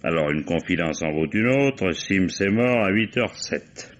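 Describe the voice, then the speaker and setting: An actor playing a politely probing, dogged police commissioner in a vintage French radio mystery. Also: male voice, low voice, lively intonation, unhurried, dull audio. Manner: polite, hesitant, insinuating questioning.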